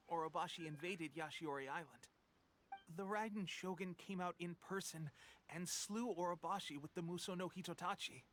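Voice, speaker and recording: A man's voice: on the high side, a bit bright, a little hiss or hum, close to an everyday voice. A man playing an earnest coughing young soldier. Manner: earnest, coughing between lines. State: coughing, has a cold. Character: young male resistance soldier, earnest, sick with a cold. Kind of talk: video game cutscene voice acting.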